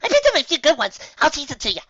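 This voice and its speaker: A woman's voice: sits high, wildly swooping pitch, racing, a bit bright, no room sound, lo-fi sound, pitched above the performer's own voice, full character voice. A woman voicing a bubbly, hyperactive young female cartoon pony who exclaims eagerly in a storybook reading.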